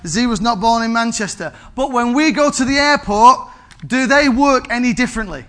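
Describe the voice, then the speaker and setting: Man, 40s, pastor, sermon, lively, high voice. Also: moderate pace. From England. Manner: animated, asks the congregation for amens.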